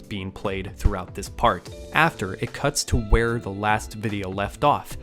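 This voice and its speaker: A man hosting an online video, giving scripted breakdown narration with casual touches. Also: medium pitch. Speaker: YouTube host and narrator. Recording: voice-over with music underneath. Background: music.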